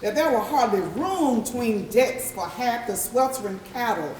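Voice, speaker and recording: A woman's voice: a little high, expressive, a little mellow, slight background noise, close to an everyday voice. A woman playing a grim ship's crewman giving a formal deposition in a solemn stage recital, picked up at a distance in an echoing room. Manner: solemn, deliberate, testifying. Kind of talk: formal dramatic verse recital, readers theatre. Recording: echoey room, distant mic, audience sounds.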